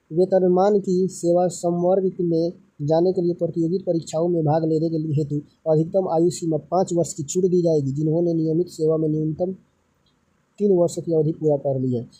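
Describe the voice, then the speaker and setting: A man with a very dark, muted tone that sits slightly high in pitch. He presents official information as an instructor would, reading formal clauses aloud. He often repeats and rephrases key points to make them plain. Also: slightly rough, low-quality recording. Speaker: presenter explaining a job recruitment notice. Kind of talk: instructional read-through of an official notice with plain explanations.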